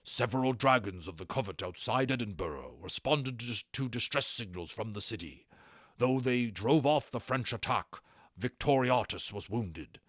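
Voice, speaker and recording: A man's voice: mid-range voice, expressive, normal speed, fairly bright tone, no echo, studio quality. A man voicing an authoritative dragon training master in an audiobook, giving urgent, clipped military orders. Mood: urgent, grave. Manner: urgent, commanding, no preliminaries.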